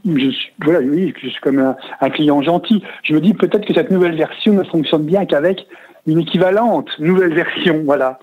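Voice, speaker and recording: A man's voice: on the high side, moderate pace. A man giving casual feedback as a customer, explaining in a hesitant, repetitive, roundabout way. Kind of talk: casual spoken phone feedback. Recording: tinny, narrow phone line.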